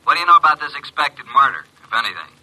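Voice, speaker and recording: An actor playing a smooth, wisecracking private investigator in an old radio drama, with a breezy, understated manner. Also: male voice, mid-range voice, sing-song, rapid-fire, sharp tone, exaggerated voice, rough recording. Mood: insistent.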